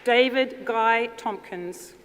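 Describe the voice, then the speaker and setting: A woman announcer formally reading out names at a ceremony in a measured manner. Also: fairly high pitch. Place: large ceremony hall. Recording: echoey hall with loud audience noise. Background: loud crowd noise.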